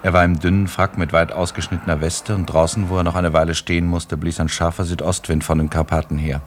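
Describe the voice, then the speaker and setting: A male narrator tells a story for a radio play. His voice is deep and his delivery is scripted literary storytelling. Music is clearly heard underneath. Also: flat delivery, quick pace. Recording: music behind the voice.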